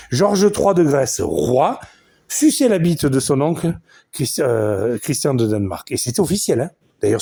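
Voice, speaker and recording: Southern French man, 40s, brash foul-mouthed podcast monologue, husky, very animated pitch. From southern France. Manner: crude, combative, boastful rant with swearing. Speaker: podcast host.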